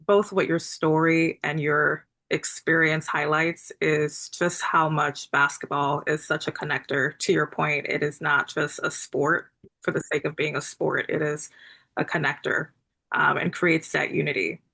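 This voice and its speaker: Gravelly, bright-voiced moderator woman. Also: low voice, level tone, a little slow. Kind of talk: moderating an online town hall.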